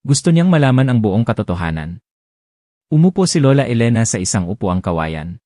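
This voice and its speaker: A man's voice: medium pitch, sing-song, fairly quick, warm tone, no echo, clean studio sound. A man narrating a romance audiobook, reading dramatically and expressively. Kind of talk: scripted narration.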